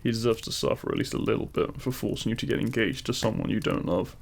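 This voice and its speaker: Demon king romantic lead, male actor: very heavy vocal fry, tender and teasing, courtly wording, intimate ASMR roleplay delivery. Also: lowish voice, fast. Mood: protective, tender. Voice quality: gravelly. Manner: tender, formal, courtly phrasing.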